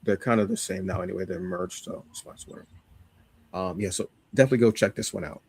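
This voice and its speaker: A man with a fairly low voice talks casually on a podcast, recorded close and dry. He recommends things with enthusiasm and leans on slangy emphasis. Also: fairly even intonation, fast talker, a little mellow, husky, no echo. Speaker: podcast co-host. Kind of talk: casual chat, giving recommendations.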